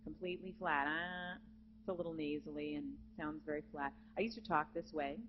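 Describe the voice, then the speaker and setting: Female vocal coach, low natural voice, encouraging clinic delivery; dull live hall recording with a faint hum. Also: a little fast. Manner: lively, encouraging, demonstrates with sung examples. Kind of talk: live masterclass teaching. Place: conference hall with student audience.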